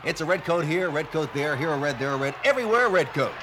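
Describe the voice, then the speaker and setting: A man playing an excitable TV sportscaster who gives brash, booming play-by-play. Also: quick pace, very noisy, close to an everyday voice. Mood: excited. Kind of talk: mock sports commentary.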